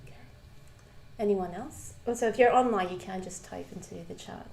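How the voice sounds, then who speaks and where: A woman from Malaysia, teaching a live Buddhist class and asking the group questions, pausing often with ums and restarts. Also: medium pitch, vocal fry, darkish tone, slight background noise. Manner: hesitant, many ums and restarts. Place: shrine room with a live class. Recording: roomy hall ambience.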